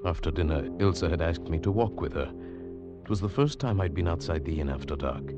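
A man's voice: deep voice, expressive, fairly quick. An actor in a mid-century radio drama playing a troubled, spellbound man, intense and hesitant, also narrating dramatically. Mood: brooding narration. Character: troubled, spellbound Englishman drawn to a mysterious woman. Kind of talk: 1940s-50s radio drama acting and narration. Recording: old muffled radio broadcast with music.

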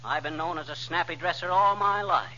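A man's voice: a little high, pushed and bright, a little hiss or hum. An actor playing a boastful, wisecracking man with a head cold in a 1940s radio comedy, on a lo-fi old broadcast recording. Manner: brash, wisecracking, rapid tongue-twister patter. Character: boastful blustery middle-aged man with a cold. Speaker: comic lead in a radio sitcom.

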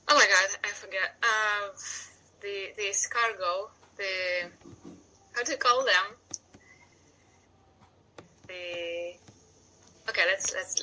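Woman, forties, Russian accent, chatty, searches for words, bright voice. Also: mid-range voice, slight background noise, poor audio. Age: 40s. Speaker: guest. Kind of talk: casual podcast chat.

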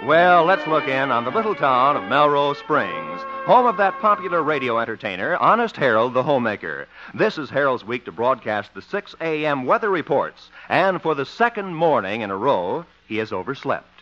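A man's voice: medium pitch, big pitch swings, normal speed, few pauses, pushed and bright, faint music behind. A male radio announcer delivering scripted ads and narration in a punchy, polished, emphatic 1950s style. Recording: dull vintage 1950s radio recording.

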